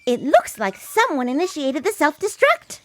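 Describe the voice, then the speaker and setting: A woman's voice: high-pitched, sing-song, normal speed, no echo, faint music behind. A woman playing a nervous, deferential henchwoman in an audio drama, apologetic and formal. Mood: nervous reporting. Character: nervous, deferential female villain's underling.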